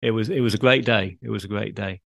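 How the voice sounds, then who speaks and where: A man's voice: on the low side, fast, gravelly, a bit bright, no echo, clean studio sound. A man with a British accent hosting a podcast interview in a conversational style. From United Kingdom. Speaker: podcast host.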